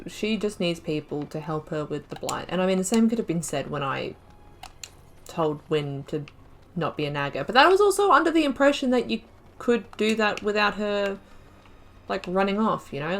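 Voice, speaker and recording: A woman's voice: medium pitch, slight background noise. A woman commenting on her own video game playthrough, thinking aloud, rambling and correcting herself mid-sentence with casual, slangy asides. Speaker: gaming channel host. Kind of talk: let's-play commentary.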